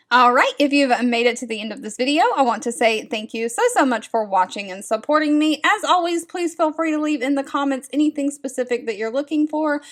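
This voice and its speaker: A woman in her thirties hosting a vlog, talking straight to her viewers in a friendly, direct way. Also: high-pitched. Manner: friendly, direct, repeats advice. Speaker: host sharing job leads. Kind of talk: casual scripted-feeling vlog talk to viewers.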